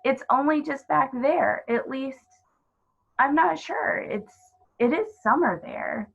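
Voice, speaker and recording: A woman's voice: high-pitched, lively intonation, slow, creaky, dull audio, pitched above the performer's own voice, heavily acted. A woman voicing a curious, innocent little girl who is polite, hesitant and full of wonder, in a storybook reading. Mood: amused, unsure.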